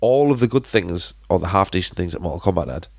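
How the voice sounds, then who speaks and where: A man with a very low voice, congested and sniffing from hay fever. He rambles through casual podcast chat, full of fillers and restarts. The sound is dry and close. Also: animated, fast, vocal fry, darkish tone, no echo. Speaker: podcast co-host.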